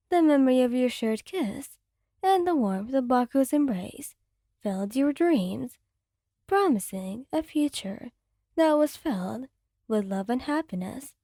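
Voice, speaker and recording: A woman narrating a romantic fanfic as an ASMR reading, in a soft, gentle storytelling voice into a close mic. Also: a little high, no room sound.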